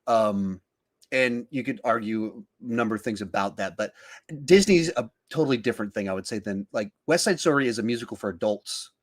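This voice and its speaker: A man's voice: on the low side, brisk. A man, hosting a podcast and talking film in a casual, conversational style. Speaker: podcast host.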